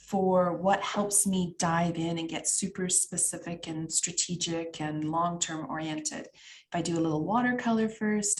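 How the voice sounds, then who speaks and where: A woman's voice: on the low side, darkish tone. Chatty Canadian woman leading a workshop. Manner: chatty, many fillers and asides to the chat. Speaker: workshop facilitator. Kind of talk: online workshop teaching. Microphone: video call.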